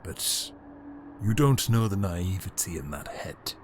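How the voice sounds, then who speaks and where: A man playing a grandiose, cold tyrant emperor in an audio drama, delivering a pompous, theatrical monologue with deliberate pauses and condescension. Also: low-pitched, expressive, slight background noise. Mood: imperious, self-righteous. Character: grandiose, cold tyrant Emperor, formerly a heroic Time Lord.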